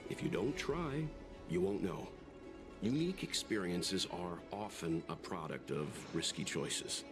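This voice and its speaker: A man voicing a calm, wryly thoughtful young traveler in a video game, with measured delivery. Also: low voice, over music. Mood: philosophical.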